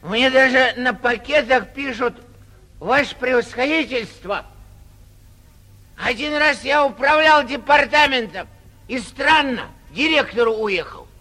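A man's voice: very high-pitched, sharp tone, exaggerated voice, rough recording. An actor playing a boastful, tipsy young man who gets carried away, in theatrical 1940s radio drama style. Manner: drawn-out bragging with sudden swells and drops.

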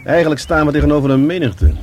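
An actor playing a grumbling police adjutant in a Dutch radio drama, halting and deferential to his superior. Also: on the high side, animated, a little mellow, faint music behind, lightly acted. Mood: disgruntled.

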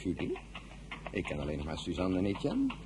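A man's voice: low-pitched, wildly swooping pitch, fairly quick, heavy background noise. An actor playing a courteous, inquisitive young man in his twenties, polite and formal, in a radio drama. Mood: curious, courteous. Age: young adult.

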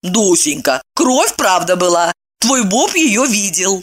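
A woman's voice: medium pitch, very animated, takes it slowly, noisy background. A woman voicing a cheeky, coy young woman in an audiobook, retorting to her friend in a flippant, theatrical way. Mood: defensive.

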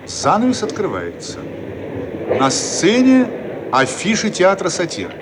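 A man's voice: sits high, huge pitch swings, unhurried, noisy background. A man reading scripted stage directions as the narrator of a radio play. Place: studio radio production.

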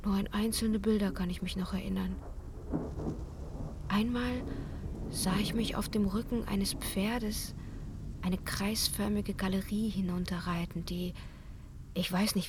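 Breathy, spellbound young opera singer, played by a woman. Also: mid-range voice, fairly even intonation, a little slow, background noise, close to an everyday voice. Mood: dazed, remembering. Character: spellbound, frightened young opera singer recounting her ordeal. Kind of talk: breathy intimate radio drama narration.

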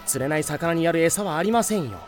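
A man's voice: on the high side, expressive, fast talker, natural voice. Casting: male actor as a cocky young ladies' man for anime audio drama, suave and boastful, swooping melodic delivery, light music underneath. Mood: flustered.

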